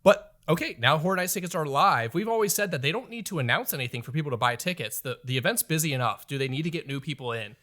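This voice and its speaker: A man's voice: on the high side, lively intonation, fast, few pauses, sharp tone. A man co-hosting a podcast in casual chat, rambling through his theories. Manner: rambling, speculative, laid-back. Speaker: podcast co-host.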